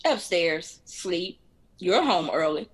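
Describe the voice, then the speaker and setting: A woman's voice: on the low side, lively intonation, takes it slowly, breathy edge, full character voice. A woman playing an earnest, outspoken younger sister in an audio drama, confrontational in manner. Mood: casual, guarded.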